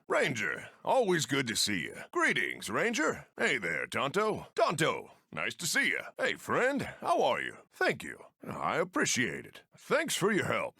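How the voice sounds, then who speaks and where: An actor playing a friendly Old West steam train engineer in a video game, with an American accent and folksy frontier phrasing. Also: male voice, mid-range voice, pushed and bright, husky, no room sound, studio quality, heavily acted. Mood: friendly, welcoming. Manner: folksy, colloquial drawl of the frontier. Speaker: mission-giver game character. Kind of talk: animated video game voice acting.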